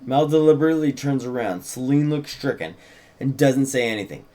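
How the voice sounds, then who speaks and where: A man's voice: mid-range voice, lively intonation, brisk, dark tone. A man narrating stage directions at a table read, a writer and co-host who comments casually on the script.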